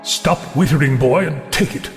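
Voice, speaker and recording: Music sits behind a lightly pitched male voice in a reverberant cell. This is an anxious older prisoner played by a man, self-pitying and quick to snap, acted with audio-drama flourish. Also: lightly acted. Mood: panicked, bossy. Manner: fretful, dramatic, snappish.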